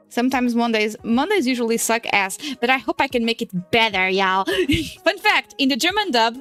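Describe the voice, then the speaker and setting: Chatty high-voiced Portuguese woman streamer. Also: a bit bright. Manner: chatty and rambling, laughs often, swears casually. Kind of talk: casual livestream chat while drawing. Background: faint music.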